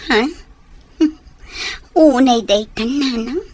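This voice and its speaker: A woman's voice: fairly high pitch, extremely slow, a little mellow, no echo. An actress playing a young woman who asks probing questions in a radio comedy-drama. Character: questioning young woman, grown daughter with a soldier boyfriend. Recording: faint background noise and ambience.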